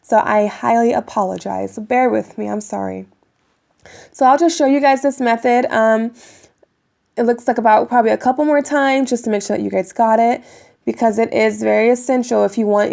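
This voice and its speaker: A young woman with a raspy voice from a cold, chatting casually to viewers while demonstrating a hair tutorial at home. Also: mid-range voice, a little mellow. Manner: chatty, addresses viewers as you guys, many ums. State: raspy voice getting over a cold. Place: bedroom at home, indoors.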